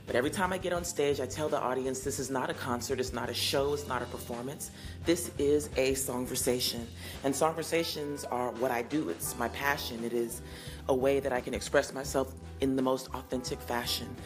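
A woman in her forties hosting her own podcast, answering listener messages in an earnest, unhurried, affirming way with a low, husky morning voice. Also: over music. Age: 40s. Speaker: singer-songwriter hosting a call-in podcast.

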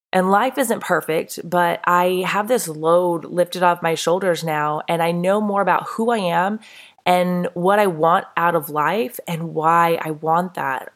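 A woman's voice: fairly low pitch, vocal fry. A congested woman hosting her own podcast, talking in a candid, earnest, conversational way with a throaty, stuffed-up voice. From North Carolina. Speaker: podcast host. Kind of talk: casual solo podcast talk.

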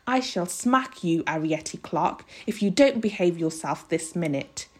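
A woman voicing an anxious, sharp-tongued, determined mother in a storybook, with emphatic, homely ungrammatical speech. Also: lowish voice, expressive, measured pace, voice pushed down. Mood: angry, scolding. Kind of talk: storybook read-aloud character voice.

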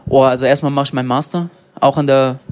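A young man from Germany, an MMA fighter and guest, answering in a relaxed, rambling way with lots of fillers. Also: medium pitch, fast, smooth. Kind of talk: casual interview.

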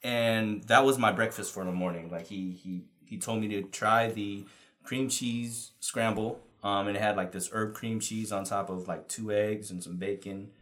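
A man's voice: lowish voice, fairly even intonation, normal speed, fairly bright tone. A man who is a bit sick, telling an easygoing story on a casual podcast. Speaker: podcast co-host.

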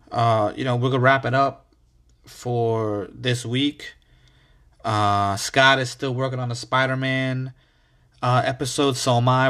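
A young man from the United States hosts his own podcast and tells a story in a loose, chatty monologue. He is animated and foul-mouthed, and his voice often jumps into sudden loud outbursts. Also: medium pitch. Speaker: podcast host.

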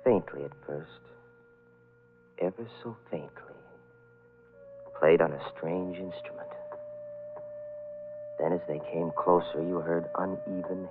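Casting: haunted male storyteller, lowish voice with big swoops, brooding vintage radio drama. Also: full character voice. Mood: ominous musing. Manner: brooding, dramatic, hesitant musing. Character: haunted young man recounting an eerie personal tale. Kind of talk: 1940s radio drama first-person narration. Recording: tinny vintage radio broadcast.

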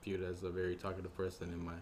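Soft-spoken young man, deep voice. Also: completely flat delivery, rapid-fire, a little hiss or hum. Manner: soft-spoken, hesitant, many "uh" and restarts. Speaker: podcast guest. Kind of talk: casual podcast chat.

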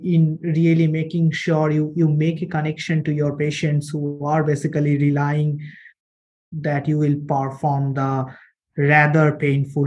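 A man's voice: fairly high pitch, mellow, muffled recording. A man hosting an interview, asking long, winding questions full of fillers. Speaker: interviewer, podcast host.

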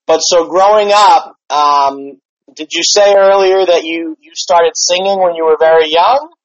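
A man who used to act, hosting an interview in a relaxed, halting way with lots of fillers. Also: a little high, no room sound. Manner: relaxed, hesitant, lots of ums and you knows. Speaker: podcast interviewer, former actor. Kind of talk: casual interview chat. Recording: dry, slightly dull podcast audio.

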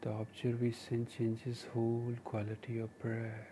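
A man with an Indian accent leading a guided meditation, giving gentle, measured instructions in short phrases with pauses. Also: medium pitch, monotone, slight background noise. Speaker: meditation teacher and podcast host.

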